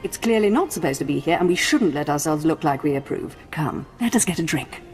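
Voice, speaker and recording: Scheming noblewoman, low and lively, drawling, long reverb and game music behind. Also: brisk. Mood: haughty. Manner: drawling, condescending. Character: scheming, haughty female noble, cruel and condescending.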